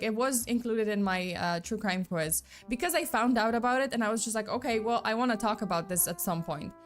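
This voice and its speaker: A young woman with a slight mixed accent, not a native English speaker, rambling in a casual live-stream chat with lots of fillers. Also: fairly high pitch. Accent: slight mixed non-native.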